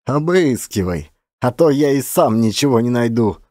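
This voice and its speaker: A man's voice: medium pitch, animated, moderate pace, mellow, no echo, clean studio sound, natural voice. Man acting out a grumbling, sullen peasant drunkard. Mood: mocking. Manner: muttering, grumbling. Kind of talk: one-man audio play.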